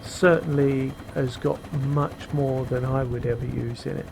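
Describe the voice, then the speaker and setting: A middle-aged man with a very deep voice, giving casual commentary while flying a simulated helicopter, unhurried and full of fillers, on a cheap headset microphone. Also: steady tone, gravelly. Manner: unhurried, explains as he flies, frequent fillers. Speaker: flight sim video maker. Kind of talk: casual flight sim commentary. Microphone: cheap gaming headset microphone. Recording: loud helicopter engine noise behind. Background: helicopter sim engine and rotor noise.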